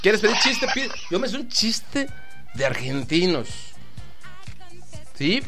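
A Mexican man hosting a live online radio show, rambling through shout-outs and jokes in a chatty, loose way. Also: sits high, big pitch swings, slow pace. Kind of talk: casual live radio chat with greetings. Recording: faint music in the background.

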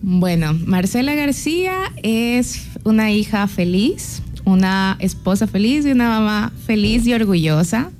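A woman's voice: mid-range voice, expressive, measured pace, few pauses, a little mellow, faint music behind. A woman in her thirties with an Ecuadorian accent, answering interview questions in a friendly, proud way. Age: 30s. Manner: friendly, proud and laughing easily. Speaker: business chamber president.

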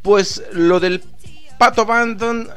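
A Mexican man hosting a live online radio show, rambling through shout-outs and jokes in a chatty, loose way. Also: high-pitched, fairly even intonation, slow pace, smooth. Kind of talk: casual live radio chat with greetings. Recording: faint music in the background.

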